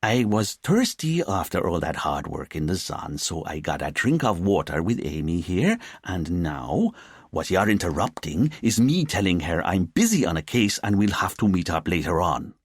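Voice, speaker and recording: A man plays a laid-back police officer in a storybook drama. He protests casually and defensively, in a husky voice that swings widely in pitch. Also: sing-song, normal speed, no room sound, studio quality, heavily acted.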